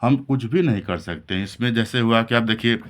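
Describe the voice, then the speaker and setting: A man's voice: medium pitch, fairly quick. A man explaining a lesson as a teacher, in a didactic way that repeats and rephrases key points. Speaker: English grammar teacher. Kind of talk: classroom-style lesson explanation in Hindi mixed with English terms.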